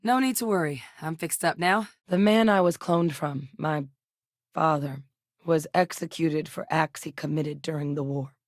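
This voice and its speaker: A composed, tactical female officer from a sci-fi video game, as a woman voices her. She talks in a low register, measured and matter-of-fact. The sound is dry and close. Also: no room sound, lightly acted. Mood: calm. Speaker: game character. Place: recording studio.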